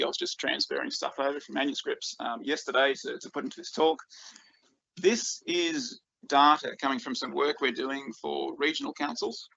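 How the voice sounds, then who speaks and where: A man's voice: mid-range voice, vocal fry, bright, forceful tone, no room sound, highs cut off. A man with an Australian accent giving a conference talk as a researcher, enthusiastic and full of ums and repeated words. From Australia, rural northeast Victoria.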